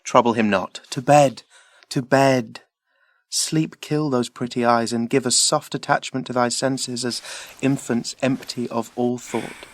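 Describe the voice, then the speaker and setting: An actor playing a tender, earnest young Trojan lover in a Shakespearean audio drama. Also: male voice, medium pitch, steady tone, measured pace, natural voice.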